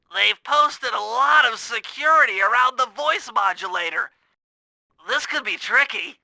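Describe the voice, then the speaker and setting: A man voicing a nerdy, earnest young turtle who plans heists in an animated video game, with a nasal voice and a precise, technical, eager way of briefing. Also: extremely high pitch, dry recording, heavily acted, poor audio.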